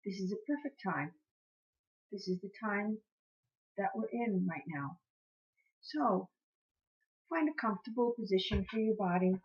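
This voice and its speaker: A woman teaching guided meditation in a soothing, encouraging manner, gently repeating her phrases. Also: medium pitch, measured pace, long pauses. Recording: muffled, dull lo-fi sound.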